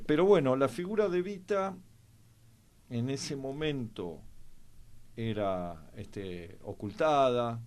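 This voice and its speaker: Older Argentine man, halting and reflective phrasing. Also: mid-range voice, darkish tone. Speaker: panelist on history programme. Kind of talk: conversational historical discussion.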